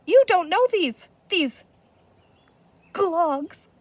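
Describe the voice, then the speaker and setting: A woman's voice: very high voice, animated, very slow, no room sound, pitched above the performer's own voice, full character voice. A woman reading a children's storybook as a small, wizened, cowardly male creature chief, frantic and whimpering. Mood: despairing fear.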